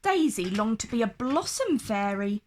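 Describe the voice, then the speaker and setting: A woman reading a children's storybook aloud in an expressive, deliberate storytelling manner. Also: on the high side, slight background noise. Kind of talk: scripted storybook narration read aloud.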